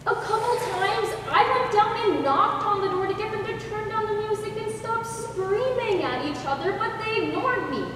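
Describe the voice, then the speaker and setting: A woman's voice: very high-pitched, expressive, moderate pace, few pauses. A young woman acting a wry apartment dweller telling an emphatic monologue in a school stage play. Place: school theatre, stage performance. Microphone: distant audience camera. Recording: echoey hall from far off, faint noise.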